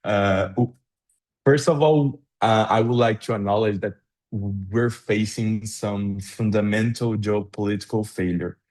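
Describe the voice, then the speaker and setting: Low-voiced Brazilian man on a video call. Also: steady tone, unhurried, a little mellow. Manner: earnest, formal, with fillers and restarts. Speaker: panelist. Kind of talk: webinar panel answer.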